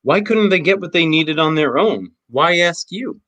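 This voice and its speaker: A man's voice: a little high, animated, moderate pace, a bit bright, dry recording, voice pushed up. A man reading a skeptical male detective who questions a suspect in blunt, probing lines.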